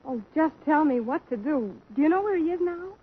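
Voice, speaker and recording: A woman's voice: sits high, expressive, fairly quick. An actress playing an earnest young woman in love who pleads with emotion, in a 1950s radio drama. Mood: pleading. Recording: tinny old radio broadcast recording.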